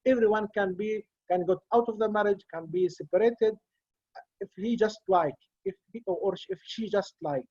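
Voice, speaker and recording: A man whose first language is Arabic, speaking English on a video call as a guest judge, halting and full of fillers and restarts. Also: sits high, a little mellow, dry recording. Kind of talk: Zoom talk in a second language. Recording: dull lo-fi video call audio.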